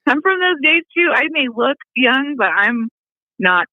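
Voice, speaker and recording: A high-voiced woman speaks as an upbeat, giggly guest model. She comes through a tinny phone line, and her voice is dry and close. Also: sing-song, unhurried, dry recording. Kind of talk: phone interview.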